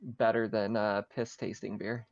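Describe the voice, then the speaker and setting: A man's voice: on the low side, flat delivery, unhurried, gravelly, no room sound, muffled recording. A man casually narrating a tabletop roleplaying game as game master.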